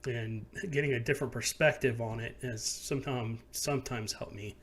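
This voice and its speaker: Host: easygoing man, mid-pitched voice, loose talk with lots of fillers. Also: steady tone, moderate pace, husky. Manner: loose storytelling, many fillers. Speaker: paranormal podcast host. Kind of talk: casual interview chat.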